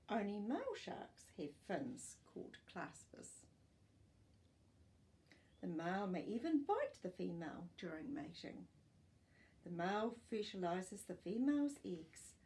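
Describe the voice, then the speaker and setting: Older woman, children's read-aloud, expressive explaining manner, very varied intonation, slightly gravelly creak. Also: mid-range voice, a little hiss or hum. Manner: expressive, clearly phrased, explaining to young listeners. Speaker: storytime host reading aloud. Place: small furnished living room, indoors.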